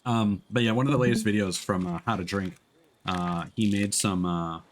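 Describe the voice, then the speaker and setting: A man's voice: on the low side, steady tone, moderate pace. A man chatting casually as a live streamer during gameplay, joking along as he goes.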